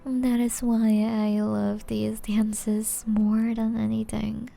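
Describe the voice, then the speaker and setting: Woman acting a slow, velvety flirty vampire lady. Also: a little high, completely flat delivery, full character voice. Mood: charming, sincere. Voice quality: soft, breathy, often whispery. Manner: refined, formal, teasing, slow and deliberate. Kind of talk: intimate ASMR roleplay.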